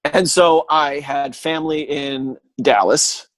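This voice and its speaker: A man's voice: fairly high pitch, steady tone, slow pace, a bit bright, no room sound. Middle-aged man, casual storyteller, jokey asides, dry close recording. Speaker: guest. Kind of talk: casual chat. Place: living in Texas.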